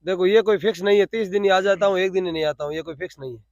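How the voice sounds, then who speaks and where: A man's voice: high-pitched, rapid-fire, dry recording, lo-fi sound. A man with a Rajasthani accent explaining things in spontaneous interview answers, repeating phrases for emphasis. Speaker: interviewee, cow shelter volunteer.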